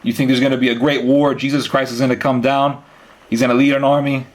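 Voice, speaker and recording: A man interviewing someone in a conversational way, explaining his views and asking questions earnestly in halting phrases with repeated words. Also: mid-range voice, little pitch movement.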